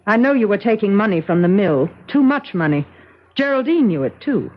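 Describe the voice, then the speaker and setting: An actress playing a bitter, sarcastic, jealous wife, biting and mocking, in a 1970s radio drama. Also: female voice, medium pitch, lively intonation, brisk, lightly acted. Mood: mocking, accusing. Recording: muffled old radio broadcast.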